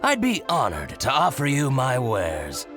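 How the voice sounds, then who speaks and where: A man playing an envious, scheming shopkeeper in a video game, theatrical, excitable and given to grumbling and gloating. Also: medium pitch, a little slow, music in the background. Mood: fawning, eager.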